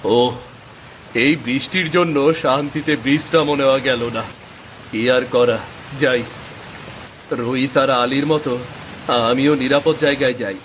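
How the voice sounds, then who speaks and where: A man's voice: mid-range voice, steady tone, a little slow, pauses often, a bit bright, a little hiss or hum, lightly acted, rough recording. A man voicing a lazy, grumbling farmer in a cartoon moral story, with sighing, drawling complaints. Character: lazy grumbling male farmer who trusts in luck.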